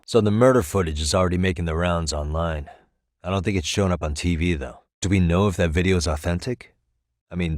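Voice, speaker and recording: A male actor voicing a composed, determined private detective in a video game, with a measured, probing delivery. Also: sits low, no echo, studio quality. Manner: measured, probing, matter-of-fact. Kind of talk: English video game dialogue dub. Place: dry recording studio.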